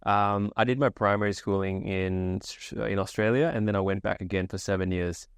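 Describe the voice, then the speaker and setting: A young man from Sri Lanka answers interview questions in a relaxed, casual way, often restarting his sentences. His voice sits very low and comes across smooth and resonant. The recording is dry and close, with no room sound. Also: moderate pace, no room sound. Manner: easygoing, casual, many fillers and restarts. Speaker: guest, agency founder.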